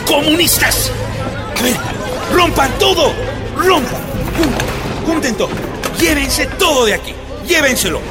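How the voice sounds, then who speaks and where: Fierce shouting man, very high, wildly varied pitch, heated radio-play confrontation, music behind. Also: animated, unhurried, pauses often, over a noisy crowd. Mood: aggressive. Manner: forceful, repetitive shouting. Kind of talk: heated radio drama acting.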